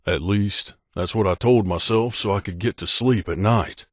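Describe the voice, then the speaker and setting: Low-voiced, earnest wasteland companion played by a man. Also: moderate pace, dry recording, clean studio sound, close to an everyday voice. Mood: guilty, confessional. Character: troubled male wasteland companion haunted by guilt. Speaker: video game companion character. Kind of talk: video game voice acting, dramatic dialogue.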